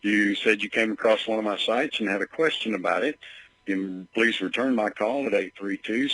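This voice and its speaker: A man leaving a voicemail as a caller, speaking haltingly and repeating words as he goes, heard as a played-back message. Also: lowish voice, a bit bright, low-quality recording.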